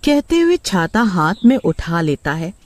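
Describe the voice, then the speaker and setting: A woman's voice: fairly low pitch, big pitch swings, unhurried, no echo. A woman narrating a scripted horror story for children.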